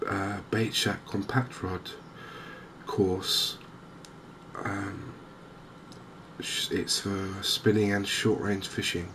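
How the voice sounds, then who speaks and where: A man softly narrating a game in ASMR style, hushed and hesitantly thinking aloud. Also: fairly low pitch, level tone, drawn-out words. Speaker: gaming video host. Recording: noticeable background ambience noise. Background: game ambience.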